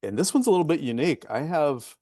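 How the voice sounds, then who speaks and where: A man's voice: fairly high pitch, animated, mellow, no room sound, clean studio sound. A man from Oklahoma hosting an interview show, introducing his guest with enthusiasm and lots of fillers. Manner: enthusiastic, halting, many fillers.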